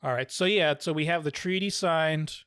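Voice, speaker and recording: A man's voice: a little high, moderate pace, fairly bright tone, smooth, no room sound. A young man with a slightly raised voice asks questions on a podcast, trailing off as he searches for words. The sound is dry and close. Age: young adult. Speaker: podcast co-host. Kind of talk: casual chat, asking questions.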